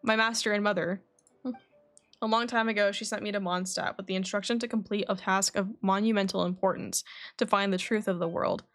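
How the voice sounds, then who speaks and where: A woman voicing a composed, scholarly young male alchemist from a video game, reading his dialogue in a measured, formal, reflective way. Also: medium pitch, steady tone, a bit bright, faint music behind, close to an everyday voice.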